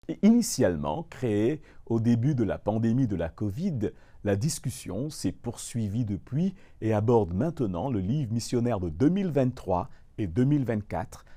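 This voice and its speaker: A male narrator reads the news with plenty of movement in his pitch. His tone is mellow rather than bright. The delivery is polished and scripted.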